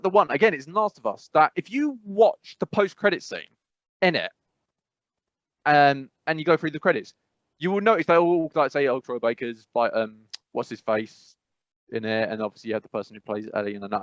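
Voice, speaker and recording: A man's voice: on the high side, expressive, fairly quick, dry recording, lo-fi sound. A man chatting casually as a podcast co-host, rambling and acting out reactions as he goes. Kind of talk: casual chat.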